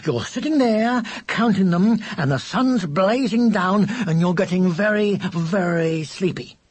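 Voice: mid-range voice, sing-song, takes it slowly, a little mellow, slightly rough, full character voice. A narrator voicing a bossy, tired older brother, a boy who explains things with impatient effort in a children's story. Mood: strained patience. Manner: sighing, impatient, laboured explaining.

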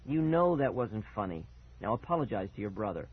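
A man voicing a brisk, sensible, good-natured mother in a storybook reading, on narrow, tinny audio. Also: on the low side, big pitch swings, husky. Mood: scolding.

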